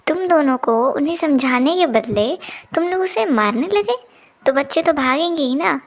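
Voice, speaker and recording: A woman voicing a calm, wise village adviser in a children's moral story cartoon. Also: high voice, moderate pace. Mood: calm, advising.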